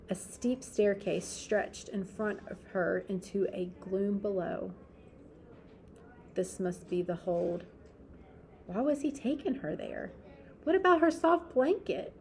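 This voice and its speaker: A woman reading a children's story aloud expressively, with deliberate, dramatic emphasis. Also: mid-range voice, darkish tone. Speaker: storybook reader.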